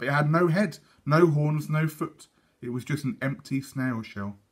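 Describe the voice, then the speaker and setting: A man reads a children's storybook aloud with a teacher's measured delivery. His pitch is very animated and his tone slightly husky. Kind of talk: expressive storybook narration.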